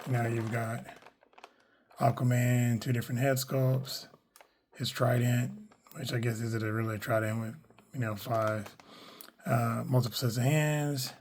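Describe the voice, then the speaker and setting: A man with a hoarse, worn voice, chatting casually through a toy unboxing, rambling with lots of fillers and self-corrections. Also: mid-range voice, background noise. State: hoarse, losing his voice. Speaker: toy collector reviewing action figures.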